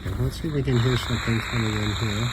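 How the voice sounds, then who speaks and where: A man giving an unhurried, matter-of-fact hobbyist demonstration in a casual way. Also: medium pitch, steady tone. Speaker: radio hobbyist reviewer. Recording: noisy, radio static and hiss.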